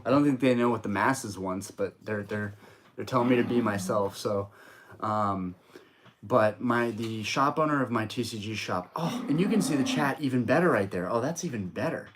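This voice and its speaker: A man's voice: mid-range voice, moderate pace, background noise. A young man hosting a casual live stream from home, chatty and rambling with lots of fillers and restarts. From United States. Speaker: streamer, host of a card-collecting channel. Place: home room.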